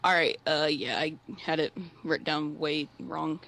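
Young man, bright crisp-edged voice, fairly high, polite, phone conversation. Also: fairly even intonation, measured pace. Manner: polite, deferential. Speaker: prank caller. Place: online voice call.

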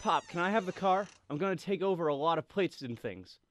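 An actor playing a breezy, excitable teenage son in a radio drama. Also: male voice, high-pitched, fairly quick, dry recording, studio quality. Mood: pleading. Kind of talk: old-time radio drama acting.

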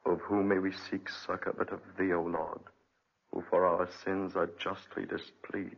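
A muffled old radio drama recording carries a low, husky male voice. a brooding avenger driven mad by grief, speaking with grave, theatrical intensity. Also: little pitch movement, normal speed, heavily acted. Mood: mournful. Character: vengeful, grief-maddened man, escaped debtor turned obsessive avenger. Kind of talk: 1940s radio drama acting.

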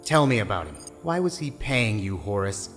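A man playing a menacing, smooth-talking crooked federal agent in a 1920s audio drama, taunting and mock-polite. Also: on the low side, big pitch swings, moderate pace, fairly bright tone. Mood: menacing, pressing. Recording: long reverberant hall sound, faint music behind.

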